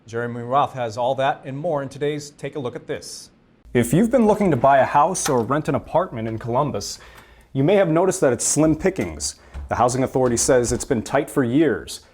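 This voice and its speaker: A man's voice: medium pitch, expressive, a little fast, darkish tone, slightly rough. A man delivering scripted news narration as an anchor and reporter.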